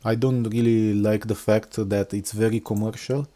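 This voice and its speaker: A middle-aged software engineer from Romania talking unscripted through a screen walkthrough, with many hesitations and fillers. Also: male voice, fairly low pitch, steady tone, normal speed. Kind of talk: casual screen-recorded walkthrough.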